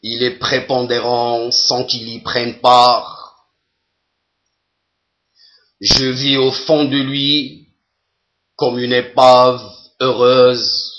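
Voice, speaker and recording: Slow, even-toned man reciting poetry. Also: medium pitch, lo-fi sound. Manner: measured, deliberate, with long pauses between phrases.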